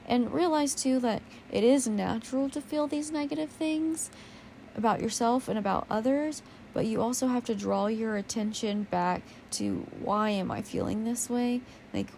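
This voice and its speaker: A young woman with a naturally low, gravelly voice talks through a solo podcast episode. She rambles candidly, pokes fun at herself, and swears offhandedly, with faint noise under the recording. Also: darkish tone. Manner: rambling, candid, swears casually, self-deprecating. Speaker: podcast host. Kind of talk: casual solo podcast monologue.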